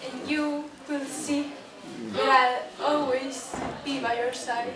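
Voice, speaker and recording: A woman playing a gentle dying mother comforting her daughter in an amateur student puppet show. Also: sits high, flat delivery, takes it slowly. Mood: tender, solemn. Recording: echoey room, distant mic, noisy background.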